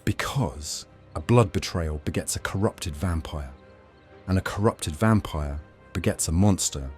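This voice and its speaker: Guy doing a young roman vampire master, really low voice, cool n menacing, music behind it. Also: a little mellow. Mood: solemn warning. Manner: measured, teasing, faintly threatening. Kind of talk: audio drama roleplay acting.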